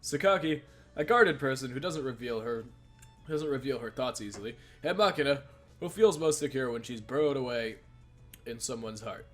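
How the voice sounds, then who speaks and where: A man's voice: medium pitch, animated, normal speed, fairly bright tone, faint music behind. A man hosting a let's play, reading a visual novel's narration aloud with joking banter.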